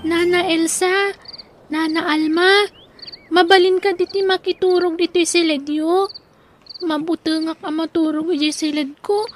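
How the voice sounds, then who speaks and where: A woman's voice: extremely high pitch, steady tone, measured pace, a little hiss or hum. A woman playing a timid, mistreated little girl in a radio drama, with an Ilocano Filipino accent. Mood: timid, grateful.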